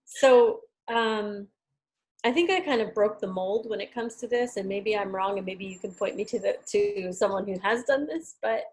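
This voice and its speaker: A woman from New York with an American accent, talking casually as an interview guest, chatty with hesitant pauses. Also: medium pitch. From New York, United States. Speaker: interview guest, tarot deck creator and artist.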